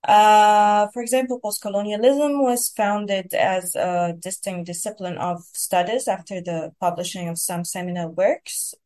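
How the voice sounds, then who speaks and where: A woman's voice: medium pitch, steady tone, a little slow, few pauses, a little mellow. A young woman from Egypt giving an academic explanation in a podcast, with frequent ums and uhs. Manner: thoughtful, many ums and uhs. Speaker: podcast participant. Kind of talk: academic discussion.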